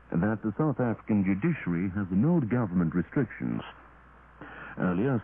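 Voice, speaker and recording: Over a thin, lightly noisy 1980s shortwave signal, a man reads the radio news. His voice is fairly low, and his pitch moves widely as he delivers the formal script in a measured way. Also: phone-quality sound. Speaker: radio newsreader. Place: radio broadcast studio.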